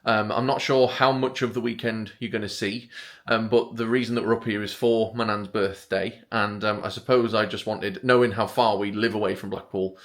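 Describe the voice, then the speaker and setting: A man, talking quietly and hesitantly to camera in a casual travel vlog, pausing often with ums, on a clip-on microphone. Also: on the low side, steady tone. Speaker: vlogger.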